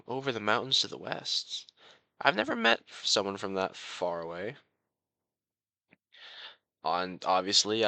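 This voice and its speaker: A very bright, crisp-edged young crown prince, acted by a man, on the low side in pitch. He speaks in formal, flowery courtly phrases, besotted and quietly possessive, as in a romantic audio drama. The sound is dry and intimate. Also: no echo. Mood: polite, curious. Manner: formal, flowery, genteel speech. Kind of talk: romantic audio roleplay addressed to the listener.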